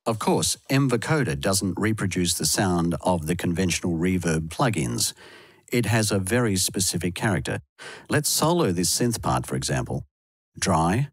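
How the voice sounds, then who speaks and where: A deep-voiced man delivers scripted tutorial narration. He explains things in a measured way, step by step. The voice-over is dry and close, with very little room around it. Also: lively intonation, husky, dry recording. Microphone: close voice-over mic, dry studio sound.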